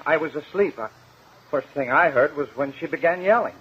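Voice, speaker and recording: An actor playing a halting, evasive ex-convict on the stand in a 1950s radio courtroom drama. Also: male voice, fairly high pitch, husky. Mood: defensive, uneasy. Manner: halting, evasive, plain-spoken. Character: defensive ex-convict houseman on the witness stand. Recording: muffled 1950 radio broadcast.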